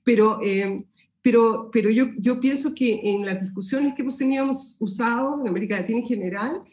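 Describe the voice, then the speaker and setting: A woman's voice: a little high, brisk, a little mellow, roomy sound, slight background noise. A Peruvian woman speaking Spanish with a Peruvian accent, giving a thoughtful academic seminar answer with many fillers and restarts. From Peru. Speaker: sociology lecturer answering questions.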